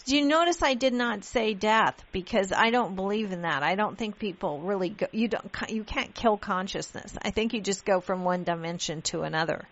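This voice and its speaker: A woman from Pakistan, raised in London, hosts a radio interview in a chatty, expressive way. Her voice sits at a middle pitch. The sound is a little dull and muffled. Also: fairly quick, hardly any pauses. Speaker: radio show host.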